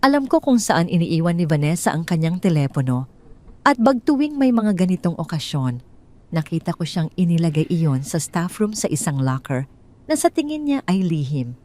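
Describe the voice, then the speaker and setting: A woman with a Filipino accent voicing a betrayed bride, calm, firm and determined, in an audio drama story. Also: lowish voice. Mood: hushed urgency. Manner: clear, firm, measured delivery.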